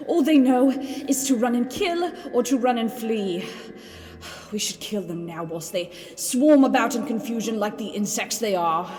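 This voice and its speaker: Haughty, cruel drow warrior, reverberant. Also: female voice, a little high, expressive, measured pace, a little mellow. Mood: scornful. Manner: haughty, mocking, condescending. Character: cruel, haughty female drow dark-elf warrior, scornful and bloodthirsty. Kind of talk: fantasy audio drama acting. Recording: long hall reverb, music bed underneath. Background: music.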